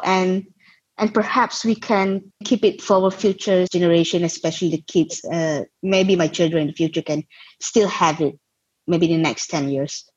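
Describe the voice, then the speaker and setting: A woman's voice: medium pitch, a little mellow, breathy edge, no echo. A young Malaysian woman with a Malaysian accent, a guest founder answering interview questions thoughtfully and searching for words. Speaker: guest, social enterprise founder. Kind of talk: interview conversation. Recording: dull lo-fi sound.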